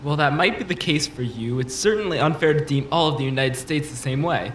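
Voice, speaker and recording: A man's voice: a little high, fairly quick, close to an everyday voice. An actor playing a self-assured, combative politician in an audio drama, pressing his argument with polished, insistent rhetoric. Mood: accusatory. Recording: roomy echo, faint background noise.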